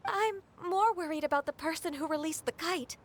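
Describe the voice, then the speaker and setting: A polite, earnest young woman in a fantasy video game. Her voice is extremely high and full of care. There is faint noise and clicking behind it. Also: normal speed. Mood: concerned. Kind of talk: video game cutscene acting.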